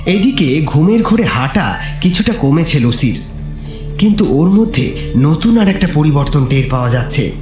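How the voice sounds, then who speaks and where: Male narrator for dramatic horror audio story; animated intonation, echoey sound over music. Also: fairly high pitch, normal speed.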